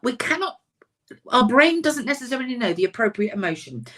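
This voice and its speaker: A woman, a counsellor and author, giving a chatty, emphatic webinar talk over a video call, her voice slightly husky. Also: fairly high pitch, very animated, fairly quick, sharp tone. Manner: chatty, self-deprecating, emphatic.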